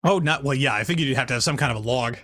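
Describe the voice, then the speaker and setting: A man's voice: mid-range voice, rapid-fire, fairly bright tone, no room sound. A man co-commentating on a game in casual chat.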